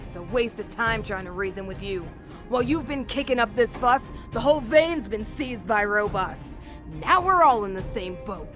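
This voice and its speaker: A woman's voice: a little high, animated, moderate pace, natural voice. A woman voicing a defiant, plain-spoken female miner in a video game, earnest in manner. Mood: wary, warning. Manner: scolding, earnest. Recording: game music behind the voice. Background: background music.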